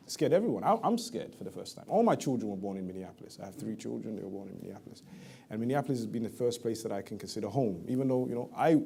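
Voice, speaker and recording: Fast-talking East London man. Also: lowish voice, vocal fry, mellow, slight background noise. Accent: East London English with some American. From Somalia, raised in East London. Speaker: city council member guest. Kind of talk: casual interview talk. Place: small theater venue with audience.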